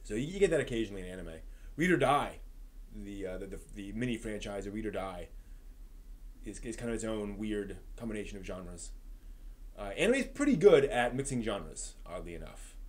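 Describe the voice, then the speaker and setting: A man's voice: lowish voice, big pitch swings, a little fast. A man hosting a live stream, talking through anime reviews and discussion in a conversational way.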